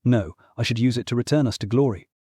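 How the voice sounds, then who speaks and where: Man acting a husky, scheming fallen god. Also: medium pitch, fast talker, dark tone, dry recording, studio quality. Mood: grandly determined. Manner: imperious, persuasive. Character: proud, scheming ex-god uncle, longing for lost glory. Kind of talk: full-cast fantasy audiobook acting.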